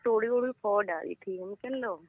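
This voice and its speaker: A woman's voice: medium pitch, normal speed. A woman talking casually on a private phone call, in colloquial, often short answers. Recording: noisy phone call recording.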